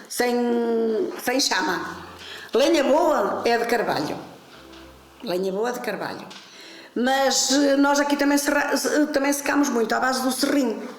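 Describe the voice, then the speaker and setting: A woman's voice: on the high side, slow. A woman, explaining a craft step by step in a documentary interview, hesitant and repeating words as she goes. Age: 70s. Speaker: interviewee, traditional fish curer. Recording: echoey room.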